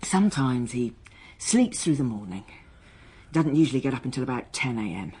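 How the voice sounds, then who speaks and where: An actress in a radio drama playing a strained, defensive schoolteacher daughter being questioned by police, halting and bristling with emotion. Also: female voice, extremely low pitch, very animated, dark tone, heavily acted. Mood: uneasy, guarded. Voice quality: husky. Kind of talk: naturalistic radio drama dialogue.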